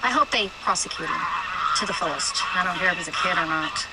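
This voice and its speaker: A woman in her fifties interviewed outdoors as a neighbor, speaking slowly and emphatically. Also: lowish voice. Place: outdoors by a street. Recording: TV interview clip, loud noise.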